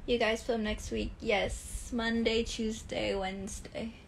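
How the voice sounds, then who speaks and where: A woman's voice: a little high, flat delivery, gravelly, a little hiss or hum, rough recording. A young actress casually answering fan comments on a phone live stream from home, stumbling through restarts as she talks. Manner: reads comments softly, answers with halting restarts.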